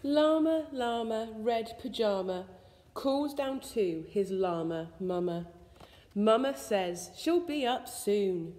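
A woman reads a rhyming children's picture book aloud in an expressive, sing-song storytelling way. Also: on the high side, takes it slowly. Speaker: school staff member reading a bedtime story. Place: school room with suspended ceiling, indoors. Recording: echoey indoor room with long reverb.

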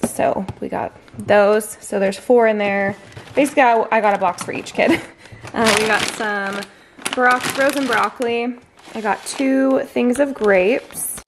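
A chatty woman in her thirties talks through her groceries at home, her voice slipping into a gravelly fry as she goes. The sound comes from a handheld vlog camera in a kitchen, with a faint hiss of background noise underneath. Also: mid-range voice. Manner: chatty, upbeat, lots of fillers. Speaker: vlogger. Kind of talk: casual grocery haul chat.

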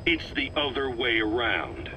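An actor playing a cold, sardonic military interrogator in a video game, deliberate and accusatory, often sinking into a hushed, breathy near-whisper. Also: male voice, mid-range voice, very animated, very noisy. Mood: cold contempt. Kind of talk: dramatic video game voice acting.

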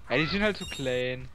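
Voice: mid-range voice, noisy background. A young co-commentator bantering casually over a game, goofy and teasing, often sing-song. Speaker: guest co-commentator. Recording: game music behind the voice. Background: video game music.

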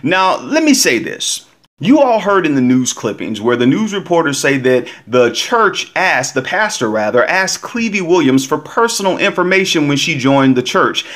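A man's voice: on the high side, big pitch swings, moderate pace, fairly bright tone. A man hosting a commentary vlog, talking straight to his listeners in an emphatic, preachy, casual way.

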